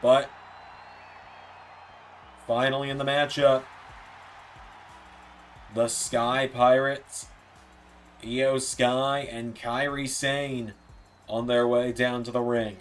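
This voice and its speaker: A man doing play-by-play commentary for a wrestling video game, his voice a bit hoarse and softer than usual, with slips and self-corrections. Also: medium pitch, faint music behind. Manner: rapid play-by-play, rising excitement on near-falls.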